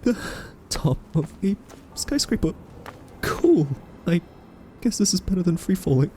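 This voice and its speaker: A man playing a timid, quirky scientist in an audio roleplay, soft-spoken, stammering and awkwardly polite. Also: high voice, big pitch swings, measured pace, a little hiss or hum. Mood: shaken, uneasy. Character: timid, quirky male scientist held captive by a rogue AI. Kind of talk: audio roleplay acting addressed to listener.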